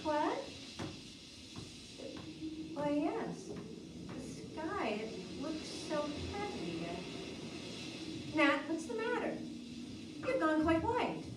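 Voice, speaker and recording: A woman's voice: high voice, big pitch swings, extremely slow, pauses often, full character voice. A woman acting a fretful, practical, skeptical housewife and mother in a radio drama. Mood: alarmed. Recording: roomy, distant, noisy amateur radio-play recording.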